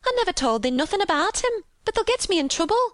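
A woman's voice: fairly high pitch, sing-song, normal speed, a bit bright, no echo, studio quality, voice pushed up. A woman voicing an agitated, plain-spoken young housemaid in an audiobook. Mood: frightened, half crying. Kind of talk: audiobook character voice.

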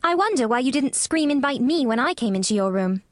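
A high, dry-recorded voice of a young girl in a storybook, performed by a woman. She is frank and inquisitive, speaking with emphatic eagerness and wonder. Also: expressive, fairly quick, no echo. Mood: blunt, curious. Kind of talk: audiobook character dialogue.

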